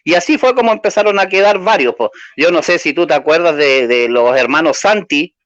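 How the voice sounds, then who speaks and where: A man's voice: on the high side, lively intonation, fairly quick, fairly bright tone, no room sound. A man from Chile with a Chilean accent, a guest in a casual interview, hesitant and full of fillers. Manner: hesitant, many fillers, slightly nervous. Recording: dry lo-fi sound.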